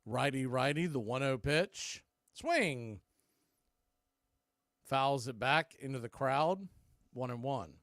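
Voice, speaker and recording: A man's voice: medium pitch, animated, slow, pauses often, fairly bright tone, no echo, studio quality. A man calling live baseball play-by-play for radio in clipped, punchy phrases. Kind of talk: live radio sports commentary.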